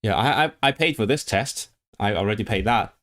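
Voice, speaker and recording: Low-voiced man chatting on a livestream. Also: expressive, brisk, fairly bright tone. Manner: rambling, frequent restarts and fillers. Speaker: language streamer. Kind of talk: casual livestream chat.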